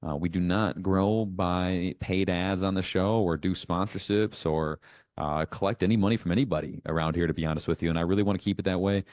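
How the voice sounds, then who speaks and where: A man with a low voice delivers an informal coaching monologue as a show host. He is blunt and emphatic, punctuating his points with quick checks for agreement. The sound is dry and close, with very little room in it. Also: fairly even intonation, a little mellow, no room sound. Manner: blunt, emphatic, casually profane, often checking with "okay?".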